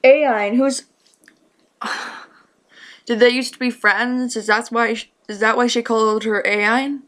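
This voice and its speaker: A woman's voice: a little high, fairly even intonation, takes it slowly, fairly bright tone. A woman giving casual reaction commentary on a story, musing and wondering aloud.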